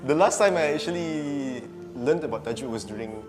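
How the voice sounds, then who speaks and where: A man in his twenties with a Malaysian accent, an excitable quiz contestant explaining rules. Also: mid-range voice, moderate pace, in a large hall. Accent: Malaysian English. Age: 20s. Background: background music.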